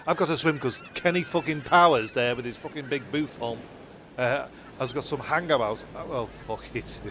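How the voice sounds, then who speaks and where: A man's voice: mid-range voice, background noise, close to an everyday voice. A man playing a grumpy, flustered castaway in an improvised comedy skit, rambling and swearing as he stumbles over his words. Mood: indignant, sweary. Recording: amateur audio with music behind.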